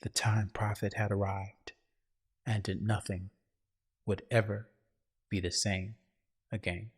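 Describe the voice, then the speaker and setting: A husky-voiced man reading a dramatic scripted story in a solemn, grandiose way. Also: lowish voice, little pitch movement, takes it slowly. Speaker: narrator.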